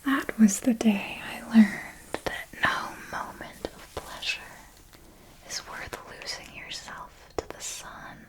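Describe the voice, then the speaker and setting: A woman's voice: fairly high pitch, poor audio. Woman playing a whispering, seductive ancient vampire mentor. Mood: wistful, sensual. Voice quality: breathy, unvoiced whisper. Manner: slow, deliberate, intimate storytelling with pauses. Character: ancient female vampire mentor, seductive, tender, darkly wise. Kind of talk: ASMR roleplay monologue.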